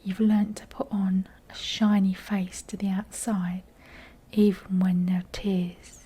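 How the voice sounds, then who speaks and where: A woman with a croaky, breathy voice, often close to a whisper, giving an intimate, informal tarot reading to viewers with gentle, chatty asides and a slightly low-energy delivery. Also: flat delivery, slow. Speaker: tarot card reader and channel host.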